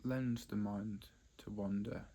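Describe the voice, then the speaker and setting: A man with a husky, fairly low voice guides a meditation. His pitch barely moves, and he talks in short, halting phrases with long gaps, feeling his way through the explanation unscripted. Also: steady tone, mellow. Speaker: meditation teacher.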